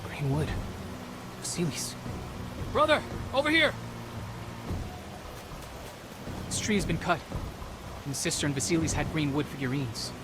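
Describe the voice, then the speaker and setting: An actor playing a stern, skeptical teenage Spartan brother in a video game, blunt and serious. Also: male voice, on the high side, sing-song, moderate pace, long pauses, noisy background. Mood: urgent. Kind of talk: cinematic video game acting. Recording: music behind the voice.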